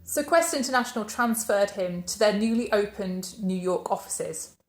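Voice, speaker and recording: A woman narrates a biography for her channel. She tells it as a story and keeps posing questions that she answers herself. Her voice sits at a middle pitch and carries the echo of a roomy space. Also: lively intonation, moderate pace. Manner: storytelling, poses questions then answers them. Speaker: channel host.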